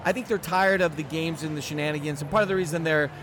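Guest, male, 40s, high voice, animated and emphatic, recorded outdoors with clear background noise. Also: fast. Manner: animated, emphatic, self-deprecating humor. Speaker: guest speaker. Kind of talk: casual podcast interview.

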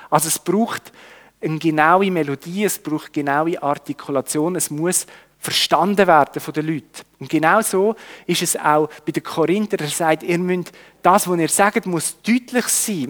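A man's voice: fairly high pitch, long reverb tail. A man with a Swiss German accent teaching a Bible study in a conversational way, with tag questions and restarts. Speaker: preacher.

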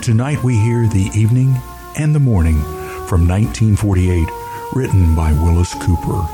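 A man playing a solemn, portentous 1940s radio suspense announcer with deliberate delivery. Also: lowish voice, voice pushed down, close to an everyday voice. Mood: solemn, ominous. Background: music.

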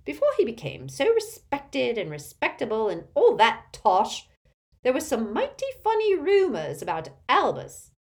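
A woman's voice: fairly high pitch, huge pitch swings, measured pace, voice pushed up, exaggerated voice. A woman voicing a haughty, spiteful, tipsy old witch aunt in a storybook, cackling and screechy, with an emphatic gossipy manner. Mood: scornful.

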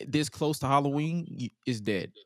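A man's voice: medium pitch, lively intonation, moderate pace, no room sound, clean studio sound. A man in his twenties hosting a podcast in a loose casual chat, profane and repeating himself. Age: 20s. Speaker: podcast host.

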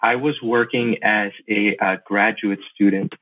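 A man's voice: on the low side, steady tone. Middle-aged American man, phone-like sound. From Miami, Florida, USA. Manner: halting, repeats words while thinking. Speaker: podcast guest, lawyer and investor. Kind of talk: casual interview answers.

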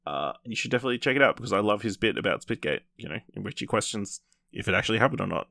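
Guy podcast host w a lowish gravelly voice, rambles thru a movie review, very dry close sound. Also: animated, very fast, pushed and bright, no room sound. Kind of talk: casual film review chat.

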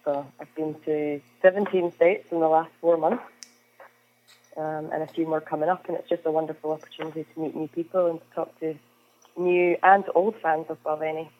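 A woman's voice: sits low, little pitch movement, brisk. Scottish woman on a tinny phone line. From Scotland, Isle of Lewis. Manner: friendly, chatty, frequent "um"s. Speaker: whisky brand ambassador, guest. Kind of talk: conversational interview answers. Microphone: phone call.

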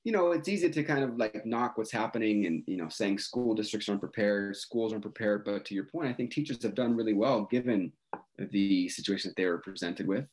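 A man co-hosting a podcast, talking in a measured way and hedging with "you know" and "I think". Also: lowish voice, darkish tone, low-quality recording. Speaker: podcast co-host.

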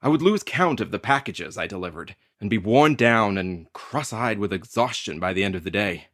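A man's voice: lowish voice, animated, moderate pace, a bit bright, dry recording, clean studio sound. An actor playing a hapless, anxious delivery carrier who tells his creepy tale with wry, theatrical drama in an audio play. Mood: wry, weary. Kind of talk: dramatic first-person narration in an audio play.

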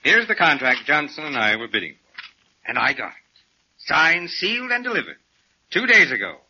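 A man's voice: fairly high pitch, sing-song, normal speed, bright, forceful tone, a little hiss or hum, exaggerated voice. An actor playing a self-assured, smug businessman who talks with cool command in a 1940s radio drama. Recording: dull, lo-fi 1940s radio recording.

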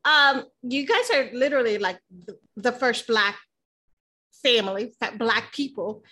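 Woman in her thirties, bright forceful voice, casual podcast interviewing, effusive, chatty, giggly. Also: fairly high pitch, lively intonation, unhurried. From born in the Philippines, moved to the United States at seven. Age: 30s. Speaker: podcast host.

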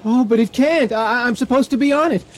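A man's voice: very high-pitched, fast talker, a little hiss or hum. Flustered, stammering man in a hurry. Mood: anxious, pleading. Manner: stammering, apologetic, hesitant. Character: flustered, pleading man rushing to catch a flight. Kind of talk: 1980 radio drama acting. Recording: vintage radio drama recording.

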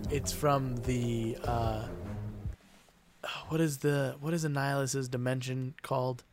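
A man's voice: mid-range voice, fairly even intonation, slow pace, soft background music. A man co-hosting an improvised comedy podcast, playful and laughing, often trailing off mid-sentence. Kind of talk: casual improvised comedy banter.

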